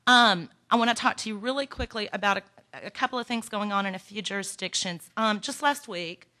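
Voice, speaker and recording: Bright-voiced Texan woman presenting. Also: medium pitch, brisk. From Texas. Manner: earnest, encouraging, folksy with "y'all". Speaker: workshop presenter. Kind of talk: conference presentation. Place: conference room.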